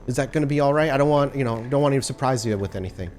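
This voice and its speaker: A man's voice: medium pitch, very fast, darkish tone, faint music behind, voice pushed up, lightly acted. A man voicing a bashful, eager-to-please young male shop clerk in a tabletop game, stammering and apologetic. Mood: eager, flustered.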